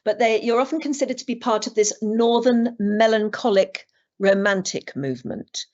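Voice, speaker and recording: A woman's voice: fairly high pitch, moderate pace. A British woman interviewing an artist, asking questions with encouraging follow-ups. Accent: British English. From Britain. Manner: warm prompting questions, lively intonation. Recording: dull, slightly muffled sound.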